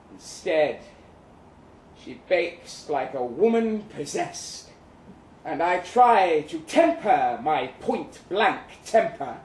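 A man performing a live dramatic reading as a bitter, witty crippled young Russian ex-soldier, in a theatrical, florid, wry manner. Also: high-pitched. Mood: wry, rueful. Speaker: performer reading a short story aloud. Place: live event venue in London, indoors. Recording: roomy, distant live recording with noise.

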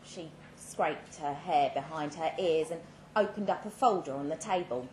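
Low-voiced woman reading a story live. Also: moderate pace, a little mellow. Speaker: short story reader. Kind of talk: dramatic literary reading of a first-person story. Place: indoor live literary event. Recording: roomy live venue, clear background noise.

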